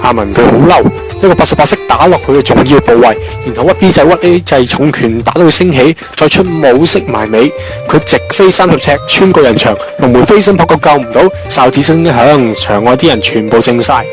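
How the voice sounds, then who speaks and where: A man's voice: medium pitch, lively intonation, brisk, music in the background, close to an everyday voice. A man playing a wisecracking young joke-teller who rambles along in an amateur radio drama, with a Hong Kong Cantonese accent. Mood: jokey storytelling. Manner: rambling, jokey storytelling. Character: wisecracking young man, long-winded teller of absurd jokes.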